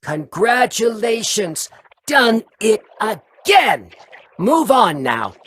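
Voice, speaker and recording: A woman's voice: a little high, very animated, very slow, a little hiss or hum, heavily acted. A woman voicing a scornful, taunting parasitic worm creature in a video game, speaking in clipped fragments. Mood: mocking.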